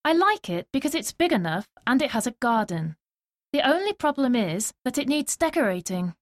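A woman reading scripted dialogue lines for a language lesson, slow and clearly spoken. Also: sits high, very animated, no echo, clean studio sound.

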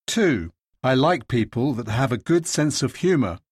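A man reading scripted dialogue lines in a language lesson, steady and carefully articulated. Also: mid-range voice, normal speed, slightly rough, no echo, studio quality.

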